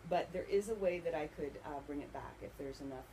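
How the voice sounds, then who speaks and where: A woman's voice: deep voice, fairly quick. A woman answering interview questions conversationally, as an author, in an earnest, explanatory way. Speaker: author and bookseller being interviewed. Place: inside a bookstore. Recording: faint background noise.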